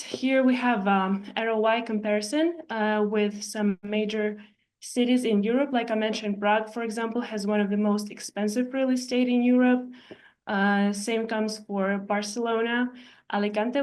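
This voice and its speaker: A woman from Ukraine, an architect giving an explanatory presentation in a measured way, pausing often to find her words. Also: mid-range voice, darkish tone. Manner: measured, explanatory, with frequent hesitations. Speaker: architect and real estate investor presenting. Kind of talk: presentation walking through slides.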